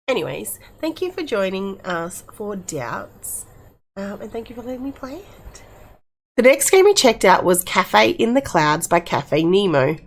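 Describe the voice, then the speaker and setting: Chatty woman with animated pitch. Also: creaky, a little hiss or hum. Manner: appreciative, chatty. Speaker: game reviewer, host. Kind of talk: casual game review and playthrough narration.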